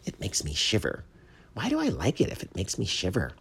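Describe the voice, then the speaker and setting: An excitable little chipmunk from a children's storybook, acted out by a man. The voice is lifted well above the reader's own and swings widely, curious and chatty, with a husky grain. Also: low-pitched, fairly quick, pitched above the performer's own voice, heavily acted. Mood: eager, curious. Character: eager chatty pleased chipmunk, small forest animal. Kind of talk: children's storybook read aloud.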